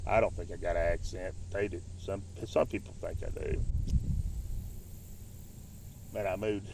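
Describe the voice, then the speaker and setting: A man's voice: sits low, steady tone, darkish tone. A man in his fifties from Ohio with a Southern accent picked up in Tennessee, rambling through old fishing stories in a folksy, loose way while he fishes outdoors. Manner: folksy, drawn-out reminiscing with loose grammar. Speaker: fishing vlogger. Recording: distant mic, clear outdoor noise.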